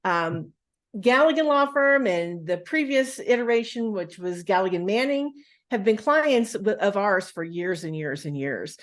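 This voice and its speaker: A woman's voice: medium pitch, expressive, a little slow. A woman hosting a podcast interview, chatty, with plenty of fillers and restarts. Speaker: podcast host.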